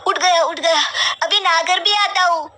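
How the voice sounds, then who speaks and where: A woman's voice: very high-pitched, normal speed, pushed and bright, slight background noise, voice pushed up. A woman voicing a cheeky, lazy young schoolboy who drawls his back-talk in a cartoon story. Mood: hurried.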